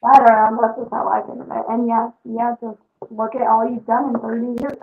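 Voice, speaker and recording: Emphatic woman, slightly high, lo-fi. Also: little pitch movement, a little fast. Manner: frank, emphatic. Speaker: podcast guest. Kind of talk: casual chat. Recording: dull lo-fi sound with faint noise.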